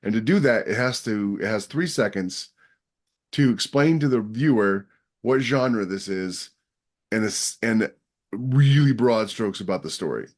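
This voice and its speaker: A man with a fairly low voice co-hosts a podcast. He explains things with confident emphasis, stating his points plainly and firmly. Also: expressive, measured pace, darkish tone. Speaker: podcast co-host. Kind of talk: casual podcast chat.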